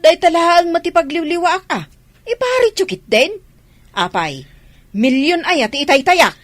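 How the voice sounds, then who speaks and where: A woman's voice: extremely high pitch, sing-song, normal speed, no echo. A woman playing a stubborn, money-demanding older mother in an Ilocano radio drama, scolding with an Ilocano accent.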